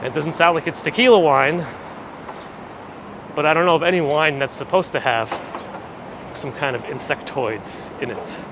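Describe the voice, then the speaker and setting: A man hosting a live walking stream, chatting casually and reading viewer comments with dry asides; his voice is a little phlegmy and gravelly. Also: fairly high pitch, normal speed, pauses often. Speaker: livestream host. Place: walking outdoors on city streets. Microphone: handheld gimbal camera rig with recorder. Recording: street noise behind the voice. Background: traffic and city ambience.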